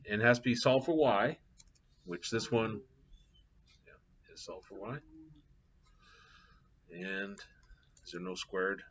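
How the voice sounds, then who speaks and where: Middle-aged man, math instructor, relaxed rambling lecture, muffled lo-fi mic. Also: on the low side, a little hiss or hum. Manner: casual, chatty, thinking aloud with fillers. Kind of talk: live class lecture with anecdotes. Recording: dull lo-fi classroom capture.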